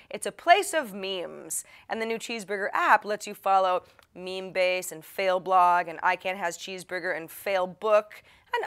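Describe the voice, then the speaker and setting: A woman's voice: mid-range voice, a bit bright. Playful, chatty woman presenting. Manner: chatty, playful, wry and self-deprecating. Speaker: host of a tech tips show. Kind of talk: presenter talk to the audience, part scripted, part casual.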